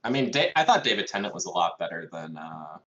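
A man's voice: deep voice, big pitch swings, quick pace, bright, forceful tone. A man chatting casually as a player in a game, heard over a lo-fi voice-chat mic.

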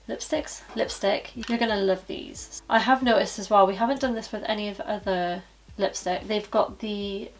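A young woman reviewing lipsticks to camera in a chatty way, her voice a little croaky from hay fever, often saying obviously and so yeah. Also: on the high side, low-quality recording. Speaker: beauty vlogger and cosmetics consultant. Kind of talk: casual product review to camera. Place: small indoor studio with plain backdrop. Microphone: camera at head-and-shoulders framing.

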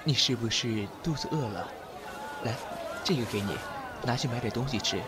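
An actor playing a kindly, gentle young general in a Chinese period audio drama, speaking a little hesitantly. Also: male voice, medium pitch, normal speed, noisy background, lightly acted. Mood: gentle, reassuring. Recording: background music and busy street crowd.

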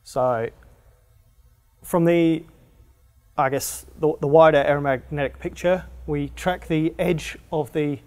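A man, presenting geology at a lectern in a conference room, halting with many fillers and restarts. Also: a little high, steady tone, darkish tone. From Australia. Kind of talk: technical conference talk. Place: conference room with projector screen. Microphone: lectern microphone.

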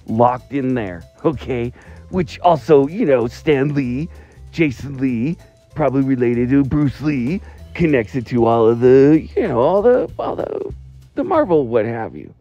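A man rambles excitedly through a phone voicemail, drawling slowly with a mellow, dark tone. He laughs between phrases. Faint music plays behind him. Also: mid-range voice, lo-fi sound. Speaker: caller leaving a voicemail. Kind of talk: rambling voicemail about movies.

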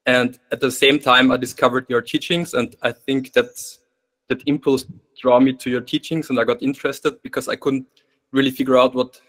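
A man's voice: mid-range voice, level tone, moderate pace, fairly bright tone. A man in his thirties with an Austrian German accent asks a question on a video call, hesitant, with small laughs. His first language is German. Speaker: student asking a question. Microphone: video call webcam.

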